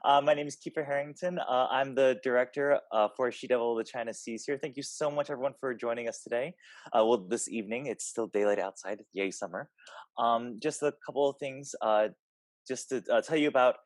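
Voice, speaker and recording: A man's voice: medium pitch, fairly quick, no room sound. A man, a theater director, giving an informal, somewhat hesitant spoken introduction to a show.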